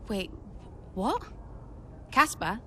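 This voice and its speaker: An actress playing a defiant young racing driver, prickly and sarcastic, in game cutscene dialogue. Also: female voice, medium pitch, very animated, extremely slow, a little hiss or hum, exaggerated voice. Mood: alarmed. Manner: sharp, confrontational, sarcastic.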